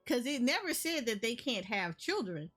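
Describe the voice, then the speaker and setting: A woman's voice: a little high, big pitch swings, moderate pace, fairly bright tone. A woman giving casual game reaction commentary, thinking aloud and often repeating herself. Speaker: gaming streamer. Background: game music.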